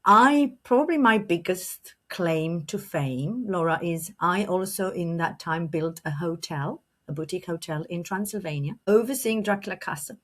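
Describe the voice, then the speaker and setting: A woman's voice: on the low side, normal speed, few pauses, a bit bright. A middle-aged woman from Romania, a leadership coach answering podcast questions fluently, with restarts and a slight cough. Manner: fluent with frequent restarts and fillers.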